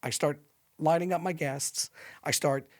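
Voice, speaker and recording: Man, nasal, a little high, rambling guest in casual chat. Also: fairly even intonation, unhurried. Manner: loose, rambling with many fillers. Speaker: podcast guest.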